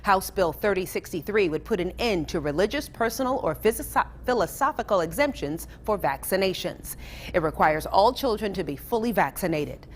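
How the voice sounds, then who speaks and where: Lively American woman presenting news. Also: medium pitch, moderate pace, slight background noise. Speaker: news show host.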